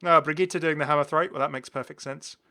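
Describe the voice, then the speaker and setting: A man with a bright, forward voice presents a casual gaming showcase. He is chatty and enthusiastic, reacting out loud and exclaiming as he goes, in the easy style of an online channel host. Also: fairly high pitch, fairly even intonation, fast talker. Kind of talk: casual gameplay showcase commentary.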